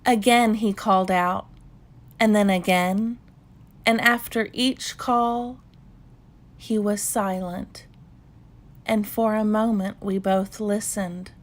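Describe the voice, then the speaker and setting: A woman narrates a children's bedtime story at a very slow pace. Her voice sits at a medium pitch, and she reads calmly, leaving space between short phrases. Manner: measured, unhurried storytelling with pauses. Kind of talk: calm bedtime read-aloud narration.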